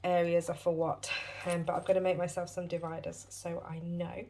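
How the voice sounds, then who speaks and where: A woman's voice: fairly low pitch, level tone, moderate pace, dark tone, a little hiss or hum. A British woman hosting a casual budgeting vlog, a bit wheezy and short of breath as she gets over a chest infection, chatty and apologetic with many ums. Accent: British English. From United Kingdom. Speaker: budgeting channel host.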